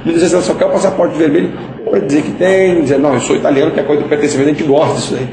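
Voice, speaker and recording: Chatty Capixaba man, quick and explanatory. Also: a little high, steady tone, creaky, a crowd in the background. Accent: Capixaba Brazilian Portuguese. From Espírito Santo, Brazil. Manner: explanatory, frequent "né?" tags. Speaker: interviewee, political candidate. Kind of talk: casual interview answers. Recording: echoey with background ambience.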